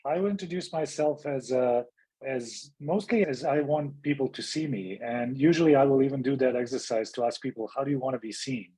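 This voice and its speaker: A man from Serbia, a middle-aged physician and activist, gives a thoughtful interview answer. His voice sounds husky and a little breathy. He tells his story in a reflective way and often restarts his phrases. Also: mid-range voice, a little fast, warm tone, rough recording. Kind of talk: interview guest.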